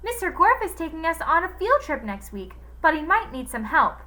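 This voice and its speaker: A woman voicing a male villain who mimics a little girl in a high, sugary, overly innocent tone. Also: sing-song, moderate pace, pushed and bright, full character voice.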